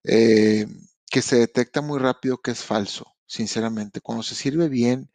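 A man's voice: medium pitch, measured pace, darkish tone, no room sound. A man from Mexico with a Mexican accent, hosting a podcast and giving frank, slangy advice straight to his listeners, with frequent restarts. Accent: Mexican Spanish. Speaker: podcast host. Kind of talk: casual motivational podcast monologue. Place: lives in Canada.

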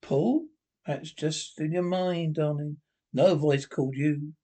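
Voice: mid-range voice, fairly even intonation, takes it slowly, dark tone, pitched below the performer's own voice. A reader playing a worried husband. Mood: concerned. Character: worried husband searching for his wife.

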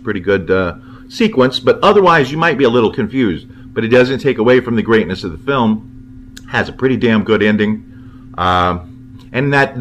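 A man's voice: lowish voice, fairly bright tone. A man giving an informal, opinionated film commentary in a rambling, conversational way. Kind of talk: informal film review monologue.

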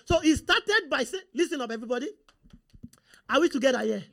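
A man with a Nigerian accent, Yoruba his first language, preaching a sermon. He talks in a fairly high voice and keeps throwing questions at the congregation, prompting them to answer and confirm they are following. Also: animated, a little fast, creaky, sharp tone. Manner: call-and-response, rhetorical questions to the congregation.

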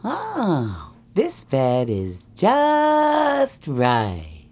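A woman's voice: sits low, huge pitch swings, extremely slow, a little mellow, breathy edge, voice pushed up, full character voice. A woman voicing a sleepy little girl in a children's story, with an exclamatory delivery. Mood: contented.

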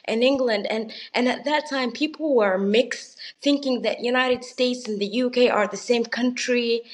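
A woman's voice: a little high, normal speed. A woman guest on a podcast, recalling her childhood in a casual, storytelling way with frequent restarts. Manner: storytelling with quoted asides and restarts. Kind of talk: casual interview conversation.

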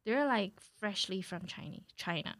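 A young adult woman in a casual chat, speaking in a jokey, animated way and swearing freely. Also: medium pitch, moderate pace, no room sound.

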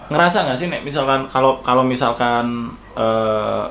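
A man from outside the capital with a thick Javanese-tinged Indonesian accent, chatting casually and thoughtfully, often pausing on fillers. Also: mid-range voice, gravelly, fairly bright tone. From Indonesian regions outside Jakarta. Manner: thoughtful, hesitant, many fillers. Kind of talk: casual chat. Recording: faint background noise.

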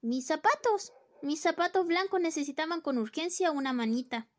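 High, flippant scolding wife for a radio drama, played by a woman. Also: expressive, moderate pace. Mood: chiding. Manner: flippant, nagging. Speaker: student radio drama actor. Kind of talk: amateur radio play acting.

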